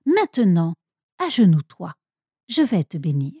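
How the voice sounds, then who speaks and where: An old patriarch, acted out by a woman in a storybook tale, speaking with solemn weight. The delivery is expressive and swings in pitch, with a soft-edged tone in a very dry recording. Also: unhurried, slightly rough, no echo, heavily acted. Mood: pleased, solemn. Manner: solemn, declamatory. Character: old father Isaac, solemn patriarch, later horrified.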